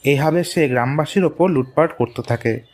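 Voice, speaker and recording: A man's voice: fairly high pitch, normal speed. A man narrating a scripted moral tale for a cartoon. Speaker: story narrator. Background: music.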